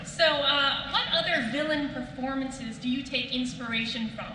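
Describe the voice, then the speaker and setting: Bright, higher-voiced woman in an echoey hall. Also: normal speed. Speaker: panel moderator. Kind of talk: asking questions at a panel. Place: large convention panel room. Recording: very echoey hall, distant mic, faint noise.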